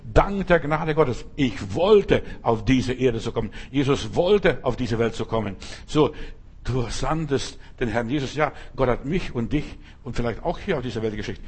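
A man's voice: medium pitch, slightly rough. A man. He hammers home repeated phrases and talks straight to each listener. His voice sits in an ordinary middle register. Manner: emphatic, repetitive, addresses listener directly. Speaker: preacher. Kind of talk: sermon.

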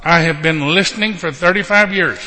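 A man's voice: fairly high pitch, normal speed, sharp tone, long reverb tail. A man with a Texan accent preaching at a revival meeting, telling a healing story and joking while he promotes his books and CDs. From Texas. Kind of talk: revival sermon with live interpreter.

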